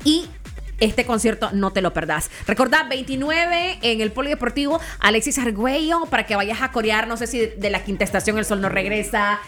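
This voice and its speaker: A woman with a Nicaraguan accent, a concert promoter on a radio show, pitching a ticket deal in a brisk, salesy way. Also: expressive, a bit bright, faint music behind. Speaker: promoter guest.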